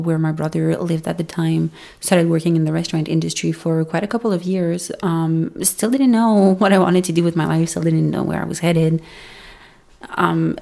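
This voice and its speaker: Low gravelly woman with a Québécois accent. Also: dark tone. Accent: Québécois French. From Montreal, Canada. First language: French. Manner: reflective, storytelling. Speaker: podcast guest. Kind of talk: casual interview talk.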